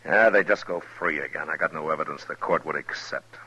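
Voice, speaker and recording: A man playing a stern, weary frontier marshal in a 1950s radio western, speaking in a terse, grave, deadpan way. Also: very deep voice, brisk, a little hiss or hum. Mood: grim. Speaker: lead actor and narrator. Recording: muffled old radio recording with music.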